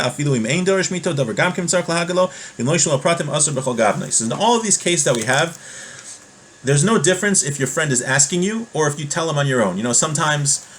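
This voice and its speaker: A man's voice: mid-range voice, fairly bright tone. A man giving an explanatory class on religious law, reading from a text and restating each point in a didactic way. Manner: didactic, repeats and rephrases points with examples. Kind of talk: explanatory text study, reading passages aloud then explaining.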